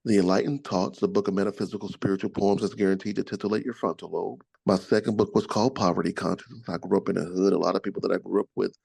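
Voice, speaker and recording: A man's voice: low voice, fairly even intonation, quick pace, gravelly, hardly any pauses, a little mellow, slightly rough, dry recording, poor audio. A middle-aged man with a rich, soothing voice, talking expansively about spiritual self-help as an interview guest. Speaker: author and podcast guest. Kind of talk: casual interview chat.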